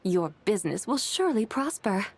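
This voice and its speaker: A woman playing a serene, courteous otherworldly lady in a video game, with formal, measured, composed speech. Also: mid-range voice, very animated, a little hiss or hum. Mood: composed, polite. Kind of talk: video game character acting.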